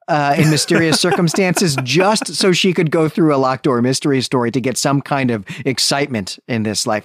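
A man's voice: fairly high pitch, dry recording, studio quality. A man co-hosting a casual literary discussion, speaking informally and emphatically and often checking for agreement. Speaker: podcast co-host.

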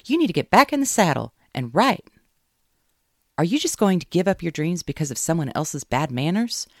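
Reader putting on a brassy southern best friend, drawling, gravelly, cheerleader energy, dry booth sound. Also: mid-range voice, sing-song, moderate pace, dry recording, pitched above the performer's own voice. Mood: cheering. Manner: loud, emphatic cheerleading.

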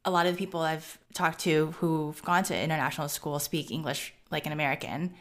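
Woman interviewer, talks fast, really low voice, gets straight to the point. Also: steady tone, fairly bright tone. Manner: direct, brisk questioning. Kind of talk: interview questions.

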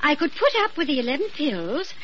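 A sweetly fussy, theatrical lady patient on 1940s radio. Her voice is high and very lively, polite and fluttery. The recording is muffled with clear background noise. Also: a little fast. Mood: apologetic. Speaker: radio drama actress.

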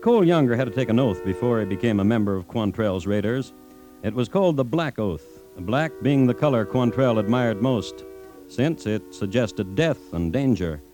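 An actor playing a dry, macabre true-crime host, narrating deliberately with wry pauses in an American accent on old-time radio. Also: male voice, medium pitch, expressive, warm tone, soft background music, close to an everyday voice. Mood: grave. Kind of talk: 1950s radio scripted narration.

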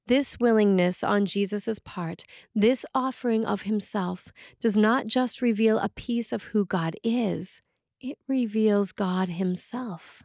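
A woman priest reading a scripted sermon in a measured, deliberate and solemn manner. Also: medium pitch, darkish tone, no echo, clean studio sound. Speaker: Episcopal priest reading a sermon written by a colleague. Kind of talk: read sermon, scripted narration.

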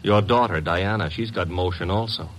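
An actor playing a sarcastic young private detective who also narrates, in 1940s radio acting style. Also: male voice, low-pitched, moderate pace, close to an everyday voice. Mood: wry. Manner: dry, sarcastic wisecracks. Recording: noisy old radio broadcast.

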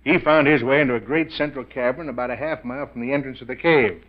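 A man's voice: medium pitch, fast, lightly acted. An actor playing a boyish, adventurous wealthy businessman, chummy and eager as he talks in a 1940s radio mystery. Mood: absorbed storytelling. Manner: hearty, chummy, storytelling. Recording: dull 1940s radio recording.

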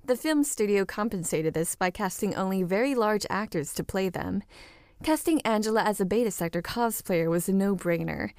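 A woman narrates scripted fiction in the manner of an audiobook. Her pitch sits in the middle for a female voice, and the sound is dry, free of room echo. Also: animated, normal speed, darkish tone.

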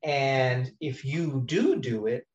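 A man's voice: medium pitch, takes it slowly, darkish tone. A man in casual conversation, thinking aloud in a rambling way with many fillers and restarts. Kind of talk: casual discussion of language teaching. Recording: roomy, dull-sounding audio.

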